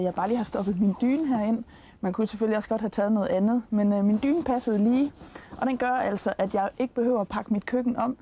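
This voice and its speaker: A Danish woman hosts a travel programme in a mellow, relaxed voice. She gives practical tips straight to camera. Outdoor ambience sits faintly behind her. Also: mid-range voice, a little hiss or hum. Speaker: travel show host. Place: outdoors at a campsite, in and beside a tent awning.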